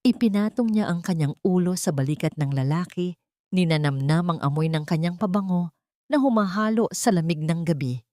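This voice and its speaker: Low-pitched woman narrating a dramatic story. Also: mellow, no room sound, clean studio sound. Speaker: narrator of audio drama stories.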